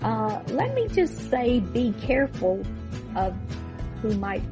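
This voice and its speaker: A woman hosting a podcast with casual chat, rambling and halting as she introduces the show. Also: on the high side, big pitch swings. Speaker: podcast host. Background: guitar music.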